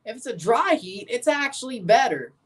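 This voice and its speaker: A woman's voice: a little high, big pitch swings, normal speed. Woman hosting a casual soccer watchalong. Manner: repeats and corrects herself. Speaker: livestream watchalong host. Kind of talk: casual live football commentary and chat with viewers.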